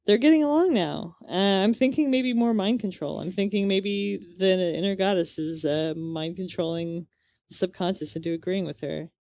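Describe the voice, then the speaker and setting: A woman's voice: medium pitch, moderate pace, gravelly, warm tone, no echo. A woman co-hosting a podcast, musing casually through a book in a rambling way. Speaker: podcast co-host.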